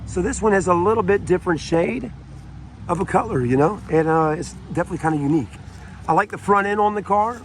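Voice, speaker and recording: A man with a sore throat and a raspy voice, giving a casual, rambling walkaround tour of a car for sale on a dealership lot. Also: fairly high pitch, a little hiss or hum. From South Carolina. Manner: rambling and chatty, many fillers and asides.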